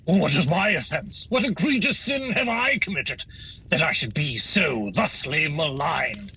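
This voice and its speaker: A man's voice: fairly high pitch, animated, measured pace, sharp tone, slightly rough, full character voice, rough recording. A man playing a bumbling, greedy working-class husband in a radio play, overwrought and comically pompous. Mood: indignant. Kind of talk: holiday radio play acting.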